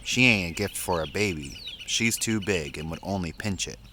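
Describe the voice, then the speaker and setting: A man voicing a gruff, folksy, eccentric uncle in a storybook, talking in slangy phrases. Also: low-pitched, normal speed, sharp tone, a little hiss or hum. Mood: folksy, teasing. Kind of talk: storybook character voice.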